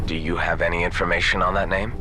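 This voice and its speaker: Deep male voice, an actor's performance, troubled amnesiac clone pilot, earnest video game drama, prominent music behind. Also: level tone, fast, natural voice. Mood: subdued, uncertain. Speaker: protagonist and flashback narrator.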